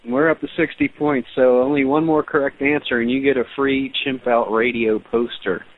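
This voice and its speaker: A man's voice: mid-range voice, steady tone, moderate pace. A man co-hosting a call-in radio show, making casual, joking asides. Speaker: radio co-host. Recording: phone-like lo-fi radio audio.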